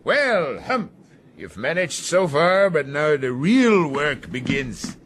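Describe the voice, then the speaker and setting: Slow, domineering sea captain. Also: male voice, fairly high pitch, sing-song, background noise. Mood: commanding. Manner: commanding, blunt, menacing. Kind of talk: radio drama acting.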